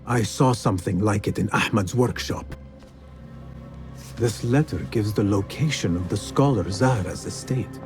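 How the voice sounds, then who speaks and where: An actor playing a calm, watchful young assassin in a video game, speaking in measured, terse observations. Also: male voice, lowish voice, over music, lightly acted. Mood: watchful, musing.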